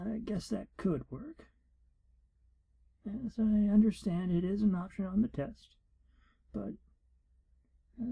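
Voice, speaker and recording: A man as a hushed, gravelly wizard proctor. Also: high-pitched, exaggerated voice. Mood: exasperated. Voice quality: hushed, breathy, husky. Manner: formal, deliberate, theatrical. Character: pompous, fussy, exasperated wizard exam proctor, male. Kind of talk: whispered ASMR role-play.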